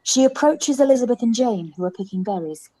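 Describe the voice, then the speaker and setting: A woman's voice: fairly high pitch, lively intonation, fairly quick, mellow, slightly rough, rough recording. Woman narrating a radio play. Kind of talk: scripted narration of stage directions.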